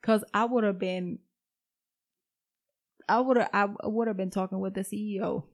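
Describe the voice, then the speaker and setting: Teasing young woman with gravelly voice. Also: mid-range voice, steady tone, moderate pace. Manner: switches between English and Spanish, teasing. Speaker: podcast co-host. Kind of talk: casual bilingual chat.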